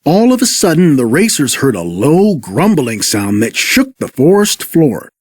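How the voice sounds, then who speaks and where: A man's voice: fairly high pitch, very animated, a little slow, slightly rough, dry recording. A man reading scripted narration for a children's read-along story.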